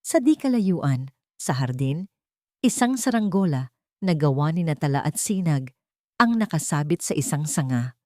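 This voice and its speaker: A woman's voice: sits low, animated, moderate pace, a little mellow, dry recording, clean studio sound. A woman narrating a scripted dramatic story as a storyteller and host.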